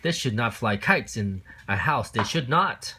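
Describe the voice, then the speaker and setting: A man's voice: mid-range voice, animated, unhurried, bright, forceful tone, slightly rough, full character voice. A man voicing an anxious little pet fish, fretful, insistent and scolding, in a children's picture-book read-aloud. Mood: disapproving.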